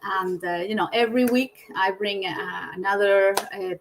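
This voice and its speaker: A woman hosting a live-stream chat on a video call. She talks in a halting way and often restarts her phrases. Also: mid-range voice, slight background noise, rough recording. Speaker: host.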